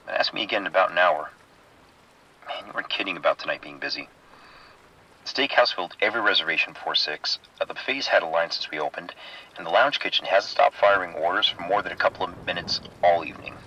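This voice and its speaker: A man playing a harried but good-humored casino kitchen chief in an audio drama, wry and informal, with a husky voice. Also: low voice, fairly quick, pushed and bright, highs cut off, full character voice. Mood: wry, weary. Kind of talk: scripted horror audio drama radio exchange.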